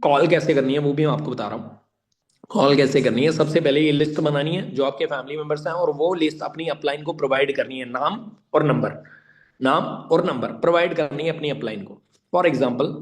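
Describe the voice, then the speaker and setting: A man giving informal online training, emphatic and repetitive, addressing listeners directly and mixing in English words. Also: medium pitch. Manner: mixes English words and phrases into speech. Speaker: online network-marketing trainer. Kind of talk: informal instructional talk to an online meeting.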